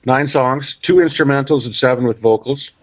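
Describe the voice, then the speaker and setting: A man's voice: mid-range voice, normal speed, no room sound. A Canadian man, a podcast guest, chatting casually and rambling with many fillers. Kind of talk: casual interview chat. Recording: dull, dry sound.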